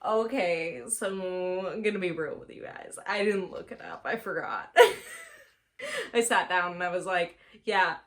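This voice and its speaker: A woman's voice: lowish voice, lively intonation, unhurried, a bit bright. A woman chatting casually to camera as a book reviewer, playful and self-mocking, stretching words for dramatic emphasis. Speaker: book reviewer on a video channel.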